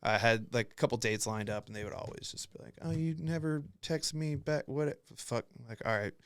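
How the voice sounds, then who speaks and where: A young man hosts a casual podcast, swearing freely and rambling through stories while acting out other people's lines. His voice sits at an ordinary pitch, and the sound is dry and close. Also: a little fast, a bit bright, clean tone, dry recording. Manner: profane, rambling storyteller who acts out quotes. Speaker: podcast host.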